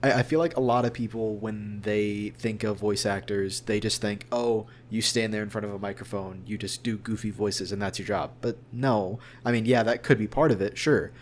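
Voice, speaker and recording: A man with a lowish voice and quite flat intonation talks casually, as a voice actor being interviewed. He is earnest and wanders through his thoughts in a discursive way. There is a faint noisy hum behind him. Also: normal speed, hardly any pauses.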